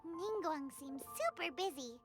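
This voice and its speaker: A woman voicing a tiny, excitable, childlike fairy companion in a video game, with a squeaky, bubbly delivery. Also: measured pace, loud music behind the voice, full character voice. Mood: excited. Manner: bubbly, exclaiming.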